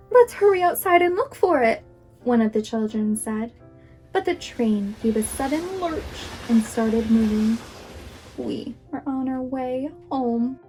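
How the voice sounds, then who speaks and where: A woman reading a children's story aloud as a preschool teacher would, with dramatic, deliberate expression. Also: a little high, mellow. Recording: music behind the voice. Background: music.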